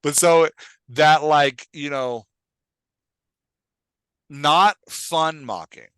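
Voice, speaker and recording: A man's voice: on the high side, very slow, a bit bright, clear, resonant tone, dry recording. A man talking conversationally and emphatically as a host and coach, giving advice with acted-out examples. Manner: emphatic, acts out example phrases.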